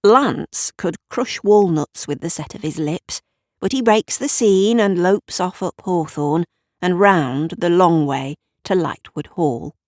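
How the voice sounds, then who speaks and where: A woman narrating an audio drama in a deliberate, wry, conspiratorial storytelling style. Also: fairly low pitch, a little mellow, no echo, studio quality. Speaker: writer and narrator.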